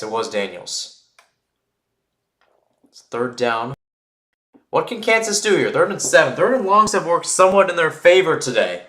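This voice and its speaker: Male football play-by-play commentator, medium pitch, conversational delivery, noticeably roomy echo on the recording. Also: fairly bright tone. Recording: roomy, echoing sound.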